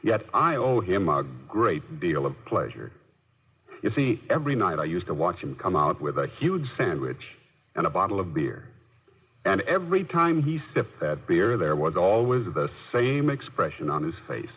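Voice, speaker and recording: A man's voice: deep voice, fairly bright tone, husky, heavily acted. An actor playing a wistful, neighborly man telling his story in a folksy 1950s radio ad style. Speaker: testimonial storyteller in a beer commercial. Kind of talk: 1950s radio ad monologue, scripted narration. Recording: old radio recording with long reverb.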